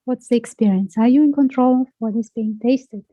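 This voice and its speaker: A woman guiding a self-inquiry conversation, asking gently probing and playful questions. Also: a little high, a little fast, no room sound, highs cut off.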